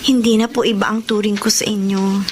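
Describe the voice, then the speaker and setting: An actress playing an earnest, worried young student in a radio drama. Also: female voice, medium pitch, little pitch movement, brisk, background noise, close to an everyday voice. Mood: pleading, respectful. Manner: earnest, polite. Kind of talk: radio drama acting and narration.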